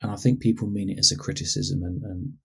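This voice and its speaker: A man from England co-hosting a gaming podcast, friendly and quick to laugh, often asking his partner questions. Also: low-pitched, steady tone, vocal fry, a little mellow, slightly rough. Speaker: podcast co-host. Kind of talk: casual podcast chat. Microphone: lower-quality microphone.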